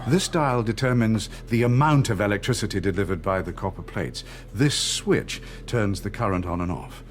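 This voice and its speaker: A middle-aged man acting a cold, controlled interrogator in a radio drama, measured, didactic and condescending. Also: lowish voice, soft background music, close to an everyday voice. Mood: cold, threatening. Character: cold, controlled party interrogator and torturer, patronising and menacing.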